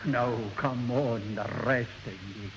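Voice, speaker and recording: A man's voice: mid-range voice, slow, close to an everyday voice. A man playing an irascible, bossy Scottish uncle in an old radio comedy. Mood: grumbling. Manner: bossy, exasperated. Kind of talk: 1970 radio comedy acting. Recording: noisy, muffled, echoing old radio recording.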